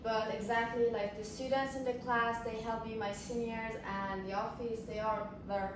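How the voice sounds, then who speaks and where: A woman's voice: on the high side, steady tone, moderate pace. A young woman from Iran, an international student, chatting casually and repeating herself when searching for words. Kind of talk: casual chat. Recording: echoey room, far from mic, noisy.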